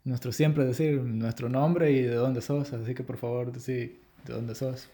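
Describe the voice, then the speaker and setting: A man's voice: medium pitch, fairly even intonation, a little slow, mellow. A man hosting a casual podcast chat, teasing his friend in banter as he leads the questions. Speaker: podcast host.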